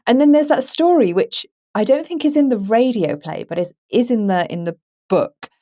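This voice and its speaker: A woman's voice: on the high side, expressive, moderate pace, mellow. A woman co-hosting a podcast, talking through a film in a casual, thoughtful and conversational way. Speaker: podcast co-host.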